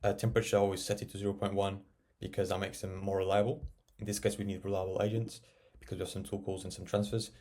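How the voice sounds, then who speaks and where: A man narrating a casual software tutorial, explaining and thinking aloud as he works. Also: deep voice, little pitch movement, quick pace, hardly any pauses. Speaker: tech tutorial presenter.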